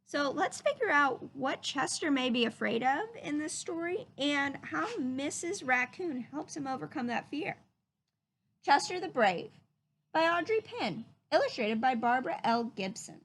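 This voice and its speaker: Female storybook reader, high and unhurried, expressive, clear explanations pitched at children. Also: a little hiss or hum. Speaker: read-aloud host. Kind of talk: storybook read-aloud with explanations.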